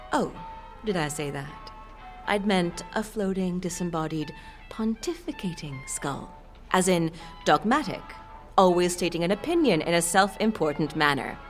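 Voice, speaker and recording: A playful half-demon merchant woman voiced by an actress with a low, sultry voice. She sells her wares with coy, theatrical flourishes in an audio drama, and music plays behind her. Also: lively intonation, a little slow. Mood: coy, teasing. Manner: coy, theatrical saleswoman with chuckles and purring flourishes.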